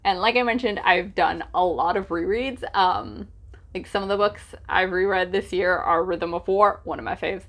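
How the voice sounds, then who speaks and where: A woman's voice: lowish voice, moderate pace, a bit bright. Casual, chatty woman book vlogger. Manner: informal, hesitant with frequent "like" asides, gushing emphasis.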